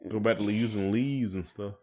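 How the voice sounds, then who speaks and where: A man's voice: lowish voice, unhurried, warm tone. A man with a Southern US accent chatting casually as a podcast co-host, in a folksy, joking way. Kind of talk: casual chat.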